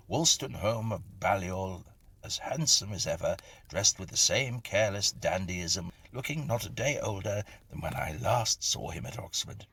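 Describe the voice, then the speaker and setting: Deep gravelly guy reading an old ghost story, atmospheric and deliberate, really expressive pitch. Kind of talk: scripted dramatic narration of a Victorian ghost story.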